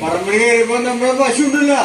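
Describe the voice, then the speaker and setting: A man playing a cheerful, ailing old village husband in an amateur stage play, calling out in excitement. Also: extremely high pitch, fast talker. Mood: excited, happy. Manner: excited, calls out loudly. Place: parish hall stage. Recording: echoey hall from far off, loud noise.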